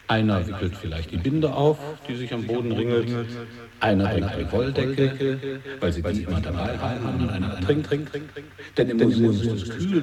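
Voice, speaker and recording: A man's voice: fairly low pitch, close to an everyday voice. An actor playing a dry, boastful man who tells absurd tales in colloquial, matter-of-fact dialogue for a radio play.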